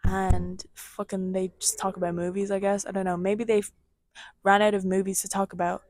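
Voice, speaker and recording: A young woman with a slight Irish accent, co-hosting a podcast and telling a gossip story in a casual, animated way, swearing and acting out quotes. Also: mid-range voice, moderate pace, no room sound. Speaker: podcast co-host.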